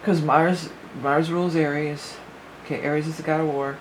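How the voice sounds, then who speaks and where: A woman's voice: deep voice, steady tone, moderate pace, a little hiss or hum, poor audio. A woman giving a casual spoken astrology forecast as a psychic reader, rambling and thinking aloud with many fillers, her voice a little worn.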